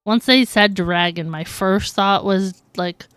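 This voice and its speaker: A woman's voice: mid-range voice, takes it slowly, fairly bright tone, smooth, no echo. A woman livestreaming a game, chatting casually and in a giggly way. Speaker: game streamer. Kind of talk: casual livestream chat over gameplay. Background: game music.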